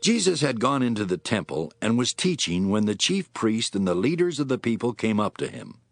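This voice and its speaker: A man's voice: lowish voice, very animated, normal speed, gravelly, no echo. A man narrating scripture as a scripted dramatic story.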